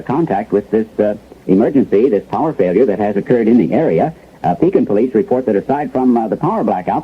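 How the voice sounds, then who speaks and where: An actor playing a flustered, apologetic newsroom anchor who stumbles through a mock live bulletin in a 1970s radio drama. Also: male voice, mid-range voice, breathy edge. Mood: strained, hesitant. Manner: hesitant, apologetic, full of ums. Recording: thin, narrowband old radio broadcast.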